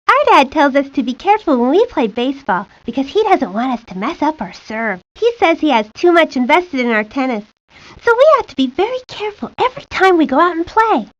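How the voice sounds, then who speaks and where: A high, sing-song little girl, confident and chatty as if sharing secrets. It is a video game character with dry, slightly muffled audio. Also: dry recording. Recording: dull, lo-fi 1990s game audio.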